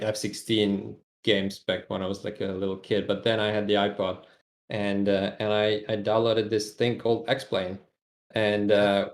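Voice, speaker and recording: A man's voice: on the low side, roomy sound. A young man from Europe, guest on a casual podcast interview, speaking with many hesitant fillers. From Europe, half Serbian half Czech. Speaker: guest, aircraft developer.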